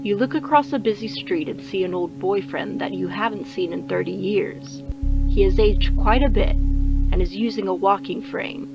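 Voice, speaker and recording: An actress playing a calm woman doctor, interviewing trial subjects in a neutral, procedural way. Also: medium pitch, normal speed, vocal fry, highs cut off, over music, close to an everyday voice. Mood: clinical, calm. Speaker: research interviewer. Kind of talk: scripted recorded clinical interview in audio drama.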